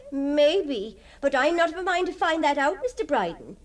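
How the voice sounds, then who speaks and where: An actress playing a deferential, superstitious working-class housekeeper in a radio drama, chatty and hesitant, with folksy turns of speech. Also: female voice, sits high, sing-song, normal speed, distant mic. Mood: uneasy.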